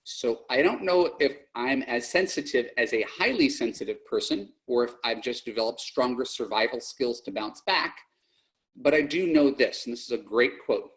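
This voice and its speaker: A man's voice: medium pitch, lively intonation, normal speed, a bit bright, poor audio. A middle-aged man hosting a dating advice podcast, speaking bluntly and plainly and often correcting himself mid-sentence. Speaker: podcast host and dating coach. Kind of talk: podcast monologue and call-in coaching.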